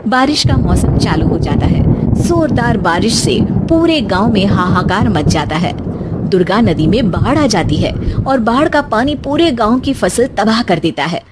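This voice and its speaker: A woman's voice: mid-range voice, very animated, a little slow, very noisy. A woman storyteller reading scripted narration for a moral story.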